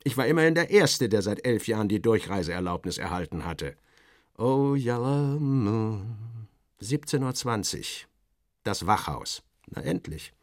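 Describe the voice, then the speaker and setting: Male narrator for satirical fiction: lowish voice, wry, expressive, clipped phrasing, very dry studio sound. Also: a little slow, dry recording. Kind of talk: first-person literary narration, satirical.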